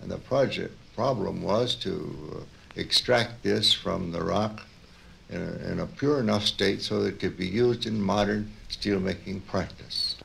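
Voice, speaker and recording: An older American man, a retired professor, reminiscing in a halting, deliberate, plain-spoken way in a radio interview. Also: sits low, vocal fry, a bit bright.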